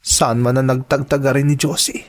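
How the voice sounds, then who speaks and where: A man's voice: mid-range voice, little pitch movement, moderate pace, lightly acted. A man acting an anguished, worried son and devoted lover in an Ilocano radio drama. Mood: worried, dejected.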